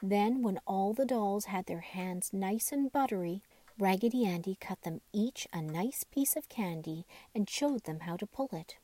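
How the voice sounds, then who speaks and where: A woman's voice: mid-range voice, expressive, unhurried, dark tone, dry recording. A woman narrating a children's bedtime story from a script. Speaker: storyteller reading a children's book.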